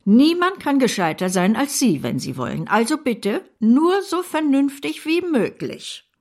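An actress in a radio play voicing a brusque, eccentric older aunt, emphatic and commanding with a creaky edge. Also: female voice, high-pitched, big pitch swings, measured pace, darkish tone, heavily acted.

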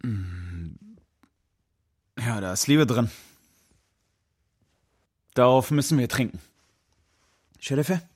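An actor playing a gentle, whimsical, bookish young man in intimate radio play dialogue. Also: mid-range voice, animated, slow, long pauses, breathy edge. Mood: musing.

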